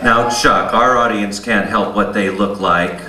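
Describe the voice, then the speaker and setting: A man's voice: fairly low pitch, fairly even intonation, unhurried, close to an everyday voice. A man playing a folksy pastor who hosts a puppet show, soothing and patronizing in a sing-song way. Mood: placating. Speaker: host. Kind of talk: theatrical mock religious show hosting. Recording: echoey live hall, distant, clear noise.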